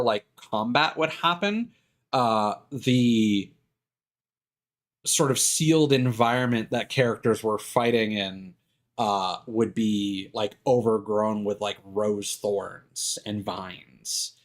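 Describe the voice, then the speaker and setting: A man live streaming with casual, opinionated commentary, rambling along in a halting, stop-and-start way. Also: medium pitch.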